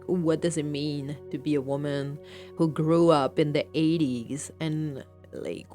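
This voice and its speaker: Low-voiced woman hosting a podcast. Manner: relaxed, chatty, with fillers. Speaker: podcast host. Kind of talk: podcast intro. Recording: faint music underneath.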